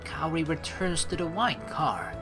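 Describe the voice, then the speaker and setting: Woman doing a let's play, lowish voice, super animated, reading story text and chatting over game music. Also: a little slow. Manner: expressive, playful. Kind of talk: reading game narration and dialogue aloud with casual commentary.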